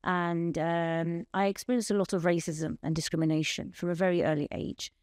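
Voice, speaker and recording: Bangladeshi-born woman in her thirties, Bengali her first language, recounting her life as a podcast guest. She speaks in an earnest, measured way, running through long lists, with a lowish voice. Close mic and dry sound. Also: steady tone, a little mellow, clear, resonant tone, no room sound. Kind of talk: personal testimony in an interview.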